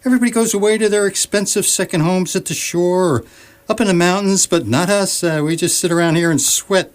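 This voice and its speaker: A man's voice: high voice, fairly quick, lightly acted. A man playing a grumbling, exasperated middle-aged husband who is fed up and wants to be a recluse, in a comedic radio sketch. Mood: gloomy, grumbling.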